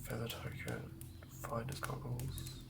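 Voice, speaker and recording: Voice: mid-range voice, monotone, unhurried, creaky, very noisy. An ASMR host whispers up close, murmuring and thinking aloud in fragments while planning out a tabletop game. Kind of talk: whispered ASMR, improvised villain-building ramble.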